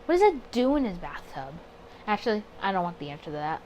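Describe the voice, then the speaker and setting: A woman with a mid-pitched voice commentates on a story game over audible background noise. She is chatty and expressive, moving between reading narration aloud and thinking through her reactions. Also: fast, lo-fi sound. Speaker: gaming video creator. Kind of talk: casual playthrough commentary mixed with reading story text aloud.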